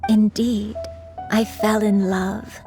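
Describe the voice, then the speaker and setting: A woman playing a gentle, guilt-ridden grandmother, once a crane, telling her story tenderly and solemnly in a dramatic game. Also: medium pitch, level tone, faint music behind. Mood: solemn, reminiscing. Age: older. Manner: slow, tender, solemn confession.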